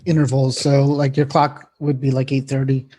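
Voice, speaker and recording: A man's voice: medium pitch, monotone, moderate pace, warm tone, a little hiss or hum. A man co-hosting a casual tech chat in an informal, loosely phrased way. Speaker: podcast co-host.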